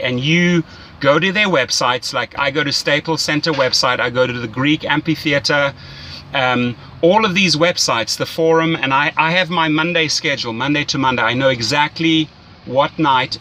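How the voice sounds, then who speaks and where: A man's voice: fairly high pitch, bright, forceful tone, a little hiss or hum, low-quality recording. A man giving casual, enthusiastic, salesy coaching as a rideshare mentor, often checking in with "right?". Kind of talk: casual coaching phone call.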